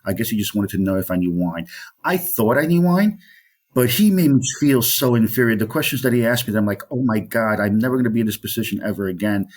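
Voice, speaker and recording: A man's voice: on the low side, expressive, quick pace. A middle-aged man from Colombia, a wine specialist being interviewed, talking in a rambling way with many fillers and restarts. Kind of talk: interview conversation.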